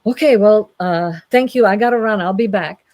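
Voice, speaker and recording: A woman storyteller voicing a hurried, exasperated king in a flustered, colloquial way, in an informal comic retelling. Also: medium pitch, a little mellow, no echo, pitched above the performer's own voice, natural voice.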